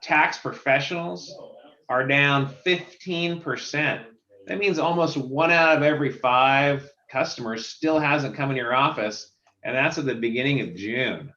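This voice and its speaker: A man who runs a software company, explaining filing statistics in a casual video call chat. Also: mid-range voice, measured pace, roomy sound, highs cut off. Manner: explanatory, walks through statistics.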